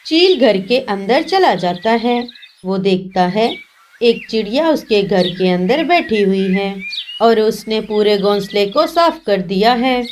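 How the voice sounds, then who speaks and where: A woman's voice: medium pitch, animated, unhurried, slight background noise. A woman reading scripted narration for a children's cartoon story.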